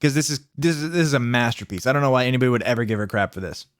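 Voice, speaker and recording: Clear-voiced man reading fan fiction aloud. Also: medium pitch, lively intonation, racing, no room sound, studio quality. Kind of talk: comic dramatic reading with asides.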